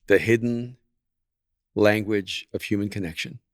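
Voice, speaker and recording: A man in his sixties, an author talking on a podcast, speaking in a measured, reflective way. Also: on the low side, dry recording, clean studio sound. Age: 60s. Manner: measured, reflective, laughs easily. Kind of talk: casual podcast chat.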